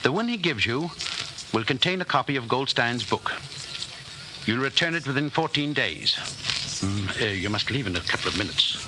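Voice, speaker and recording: A man's voice: medium pitch, lively intonation, lightly acted. Man playing a grave conspiracy leader, noisy recording. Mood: grave, instructing. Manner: measured, solemn, authoritative. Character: grave, controlled male leader of a secret brotherhood. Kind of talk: 1940s radio acting. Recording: noisy 1940s radio recording.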